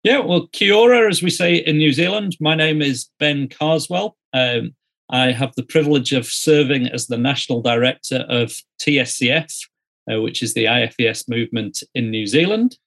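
A middle-aged man from Yorkshire, speaking as an interview guest in a conversational storytelling way with measured, hesitant phrasing. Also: mid-range voice, vocal fry, fairly bright tone. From Yorkshire, northern England. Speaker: interview guest, student ministry director.

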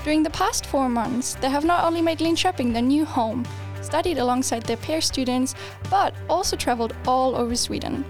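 A young woman in her twenties hosting a student podcast, upbeat and quick to laugh. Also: sits high, soft background music. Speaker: podcast host. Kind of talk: friendly podcast interview.

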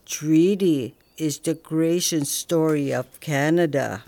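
An actor playing an older Northern Cree man, a patient elder who teaches in a slow, gentle way. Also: a little high, creaky, no echo. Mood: earnest. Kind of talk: audio drama acting.

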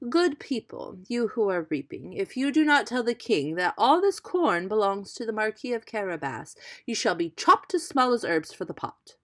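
A woman voicing a clever male cat from a storybook, polite, flattering and persuasive in an emphatic, courtly way. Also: on the high side, a little slow, pitched above the performer's own voice, exaggerated voice. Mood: commanding.

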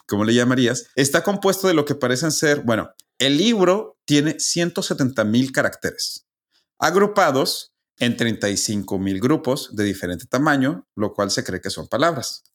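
A man's voice: mid-range voice, animated, normal speed. A man, hosting a casual podcast and methodically explaining facts step by step, often restarting his phrases. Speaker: podcast host.

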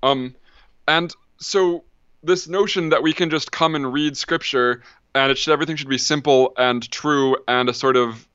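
A man's voice: mid-range voice, fairly even intonation, moderate pace, a bit bright, clear, resonant tone, no echo, dull audio. A man, an ancient historian, talking as a podcast guest, thinking aloud with lots of ums and restarts. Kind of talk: casual academic discussion.

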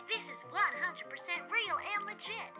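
Performer doing a very high-pitched radio ad announcer. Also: animated, normal speed, full character voice. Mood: salesy. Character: very high-voiced sponsor announcer in a radio ad parody. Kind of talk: old-time radio commercial parody. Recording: dull radio sound, loud music.

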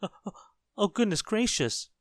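Shrill, stammering little panicker. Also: male voice, sing-song, normal speed, no room sound. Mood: panicked. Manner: stammering, melodramatic exclamations. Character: tiny timid male panicker, hysterically fearful, easily terrified.

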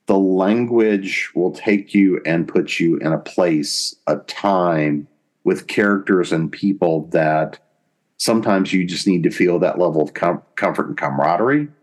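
Deep voice guy, podcast guest, explaining stuff thoughtfully, pauses mid sentence. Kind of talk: conversational explanation in an interview.

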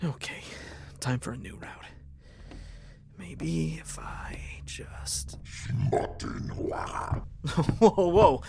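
A man's voice: a little high, animated, very slow, vocal fry, pauses often, in a whisper, heavily acted. A man playing a wry, scrappy space lieutenant in a science fiction audio drama, with a sardonic, self-muttering delivery. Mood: wry, uneasy, then cautious, placating. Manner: sardonic muttering to himself, sighing, breaking into shouts. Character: wry, scrappy male space lieutenant alone on a hostile ship. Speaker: narrating protagonist.

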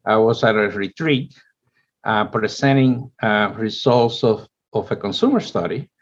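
A man's voice: lowish voice, animated, a little slow. A man in his seventies, born in Cuba, speaking English as an interview guest, telling his life story in a rambling way with many restarts. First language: Spanish. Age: 70s. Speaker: guest, company chief executive. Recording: dull, lo-fi audio.

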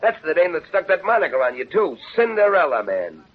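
Fast-talking cynical sidekick. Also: male voice, a little high, sing-song. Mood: scornful. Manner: blunt, sarcastic. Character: cynical, blunt, slangy wisecracking sidekick man. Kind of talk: 1930s radio acting. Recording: muffled, lo-fi 1930s radio broadcast.

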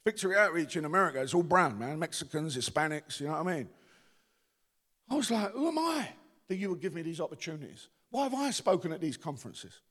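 A man's voice: a little high, sing-song, fast, a bit bright. A man from the East End of London with a Cockney accent, preaching a rousing sermon as pastor and calling on the congregation to respond. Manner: rousing, calls for audience response. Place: church. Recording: long church reverb.

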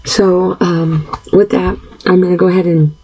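Gravelly, husky woman in her fifties. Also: lowish voice, level tone, dark tone, dull audio. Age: 50s. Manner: halting, earnest, frequent throat-clearing and fillers. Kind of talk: personal monologue.

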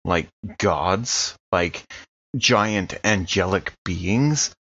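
A man's voice: deep voice, very slow, fairly bright tone, no echo, full character voice. A man playing an easygoing carnival worker in an improvised tabletop horror game, earnest, casual and full of questions, on a slightly dull microphone. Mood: earnest, curious. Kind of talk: improvised in-character tabletop dialogue.

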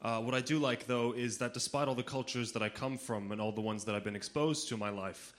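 A man with a mixed international accent doing animated, conversational stand-up comedy on stage. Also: mid-range voice, fast talker. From Austria, America and Slovenia. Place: live comedy club stage.

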